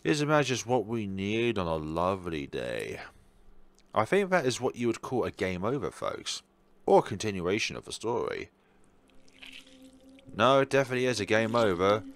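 A man with a fairly low voice comments on a game as he plays. His intonation swings widely, and he drops in dry, sarcastic remarks between bits of dramatic reading. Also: big pitch swings, normal speed. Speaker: let's player reading a visual novel aloud. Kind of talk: gameplay commentary and dramatic read-aloud.

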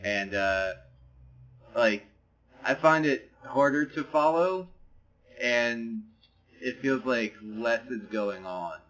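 A man's voice: medium pitch, slow pace, long pauses, a bit bright, poor audio. A man co-hosting a podcast in a casual chat, rambling and hesitant, full of fillers. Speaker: podcast co-host. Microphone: podcast microphone.

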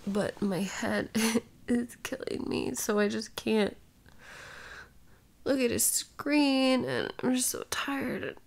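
A woman playing a sick, sleepy, sweet and whiny girlfriend in an intimate ASMR roleplay, breathy and often dropping into a whisper, with drowsy sighs. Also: a little high, lively intonation, heavily acted. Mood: tired, frustrated. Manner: drowsy, drawn-out, sighing, intimate.

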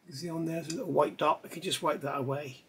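A man's voice: on the high side, racing, husky. A middle-aged man explaining a scale model build at his workbench, chatty and rambling as he works. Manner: relaxed, rambling, talks while working with his hands. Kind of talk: hands-on tutorial with chatty asides. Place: indoor hobby workbench. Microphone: overhead camera on workbench.

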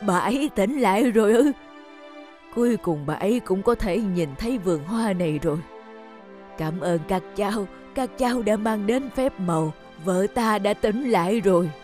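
Gruff, grieving old farmer. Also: male voice, high voice, lively intonation, normal speed, darkish tone. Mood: moved. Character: gruff, grieving old farmer guarding his lavender garden. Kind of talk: cartoon voice acting. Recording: music under the voice.

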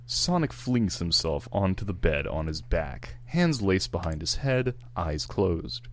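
Male amateur story narrator, deep register, expressive, slight background hum. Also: unhurried. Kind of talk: amateur fan fiction narration.

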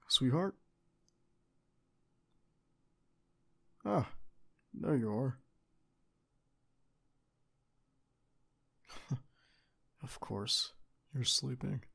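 A man playing a tender, protective young secret agent boyfriend in an ASMR roleplay, speaking in a soft, soothing, intimate way. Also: mid-range voice, vocal fry. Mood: soft, affectionate. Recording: faint background ambience noise.

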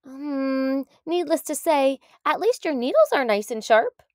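Woman voicing a gentle, weary porcupine doctor. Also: high-pitched, big pitch swings, measured pace, no room sound, clean studio sound, voice pushed up. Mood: concerned. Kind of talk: children's storybook read-aloud.

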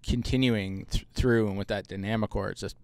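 A man's voice: lowish voice, steady tone, a little fast, no echo. A man co-hosting with casual commentary, chiming in agreeably as he goes. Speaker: co-host, bowler.

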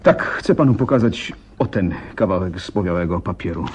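A man's voice: deep voice, animated, normal speed, a little hiss or hum. A man playing an anxious, hesitant client who is flustered and deferential, in a Polish radio drama. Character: anxious, hesitant male client seeking a detective's help.

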